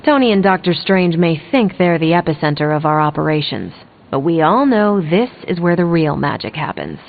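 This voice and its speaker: Low, lively game heroine. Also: female voice, moderate pace. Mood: playfully boastful. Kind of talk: scripted video game character dialogue. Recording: tinny band-limited audio.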